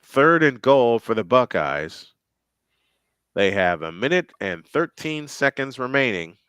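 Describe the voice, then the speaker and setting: Bright-voiced man calling a football game. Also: medium pitch, very animated, measured pace, smooth, no room sound, studio quality. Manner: chatty, thinking aloud between plays. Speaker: play-by-play commentator. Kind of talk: live football commentary.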